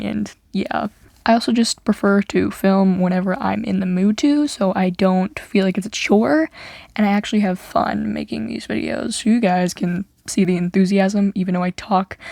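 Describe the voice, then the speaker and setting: A woman's voice: medium pitch, gravelly, darkish tone, no room sound, clean studio sound. Woman rambling through an unscripted voiceover. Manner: rambling, many "like" fillers and restarts. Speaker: YouTuber. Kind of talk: unscripted voiceover over a game speed build.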